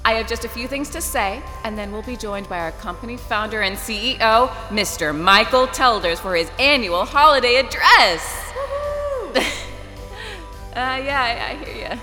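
A woman's voice: a little high, animated, moderate pace, in a large hall, music in the background, lightly acted. An actress playing Grace, a harried corporate event emcee who loses her temper and swears at her stage manager in an audio drama. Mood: nervous formal. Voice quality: profane, exasperated, bickers. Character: flustered harried woman company emcee.

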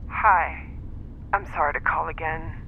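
A woman's voice: low-pitched, measured pace, gravelly. A woman playing a pleading, seductive lover leaving a voicemail, half-whispering breathily in an audio drama. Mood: longing. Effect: phone voicemail filter. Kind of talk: intimate audio drama acting. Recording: muffled voicemail with background noise.

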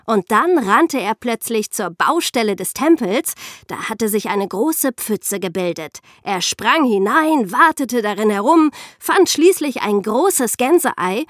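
A woman's voice: fairly high pitch, expressive, slow, no room sound, clean studio sound. A woman presenting a podcast, explaining history in a conversational storytelling style and addressing her listeners directly.

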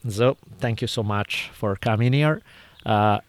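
Low-voiced Italian-accented male host. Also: unhurried, clean tone, dry recording. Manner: relaxed, rambling, laughs often. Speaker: podcast host. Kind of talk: casual interview chat.

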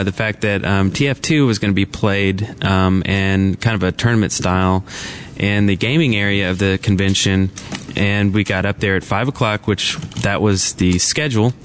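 A man's voice: fairly low pitch, slight background noise. A man co-hosting a live podcast at a convention, telling a rambling story full of fillers. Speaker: podcast co-host. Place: convention floor.

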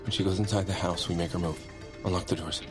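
A man's voice: low voice, steady tone, fast, over music, natural voice. A man playing a bossy, fussy amateur sleuth in an audio drama, given to instructing in a mock-grand way. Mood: tense, hushed.

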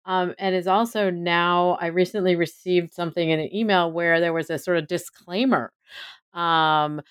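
A woman interviewing an author, framing her questions in long, measured sentences. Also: on the low side, fairly even intonation, clean tone, dry recording, clean studio sound. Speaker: podcast interviewer.

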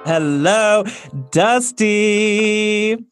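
A man's voice: high-pitched, extremely slow, music in the background. A man hosting a podcast, chatting casually with a caller and asking questions. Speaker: podcast host.

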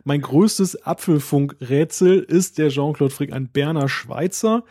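A man's voice: fairly high pitch, unhurried, warm tone, no echo, clean studio sound. A man from northern Germany with a northern German accent, chatting casually as co-host of a tech podcast.

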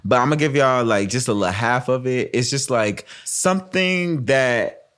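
A man in his twenties co-hosting a casual podcast, slangy and animated, often stumbling and repeating words. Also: medium pitch, normal speed, smooth. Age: 20s. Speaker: podcast co-host. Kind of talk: casual chat.

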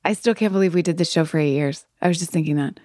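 A woman's voice: sits low, quick pace, a little mellow, no room sound, clean studio sound. Enthusiastic woman co-hosting a podcast. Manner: enthusiastic, laughing. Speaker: podcast co-host, actress. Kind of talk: casual chat.

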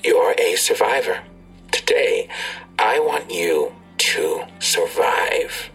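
An actor in an audio drama playing a menacing male tormentor who gives cold, terse commands in a husky, often whispery voice. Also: sits low, faint music behind, exaggerated voice, rough recording. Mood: cold, commanding. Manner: slow, terse, calmly controlling. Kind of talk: full-cast audio drama acting.

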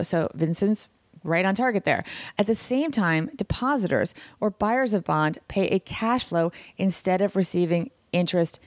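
A woman hosting a money podcast from her home kitchen, her voice hoarse, chatty and upbeat with quick asides. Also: lowish voice, no echo. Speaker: podcast host and financial planner. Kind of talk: casual podcast chat.

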